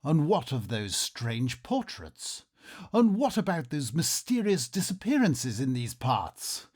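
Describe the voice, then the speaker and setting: A man's voice: on the high side, wildly swooping pitch, slow, a little mellow, heavily acted. Man acting a swooping, theatrical Victorian nobleman. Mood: wondering, suspenseful. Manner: melodramatic, genteel, wordy. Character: curious, polite Victorian nobleman narrating his eerie adventure. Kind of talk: theatrical radio drama narration and dialogue.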